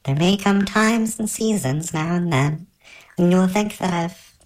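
A man's voice: high-pitched, a little slow, echoey room, pitched above the performer's own voice. A man voicing a tiny, cheerful winged female fairy spirit in a storybook, with a small sweet voice and a coaxing, arch lilt. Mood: reassuring.

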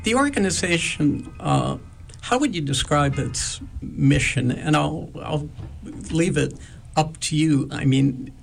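A man hosting a radio interview, speaking in a measured, halting way with frequent pauses as he introduces young guests. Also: fairly high pitch, vocal fry. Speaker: radio show host. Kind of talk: slow, thoughtful interview hosting.

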